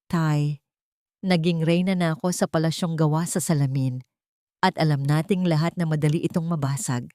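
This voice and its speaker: A resolute young mother from an audiobook drama, acted by a female narrator. She speaks in a lowish voice with solemn, measured dignity. The recording is close and dry. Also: fairly even intonation, a little mellow, no room sound, lightly acted. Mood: tender, resolute.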